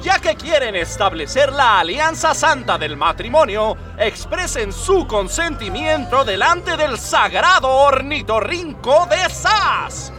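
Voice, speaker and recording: A man's voice: very high voice, very animated, slow, few pauses, sharp tone, exaggerated voice. A man playing an obsequious henchman officiant in a comic radio serial, ceremonious, deferential and singsong. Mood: solemnly ceremonious. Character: obsequious officiant henchman performing a solemn mock wedding. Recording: slightly distant, music behind.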